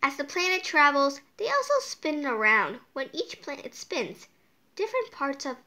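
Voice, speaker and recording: A woman's voice: sits high, big pitch swings, normal speed, sharp tone. A woman hosting a casual storytime read-aloud, drifting off into playful, whimsical asides as she goes.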